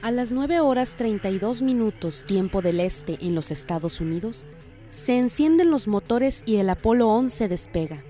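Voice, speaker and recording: Mellow female documentary narrator. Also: medium pitch, animated, normal speed, soft background music. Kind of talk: scripted narration.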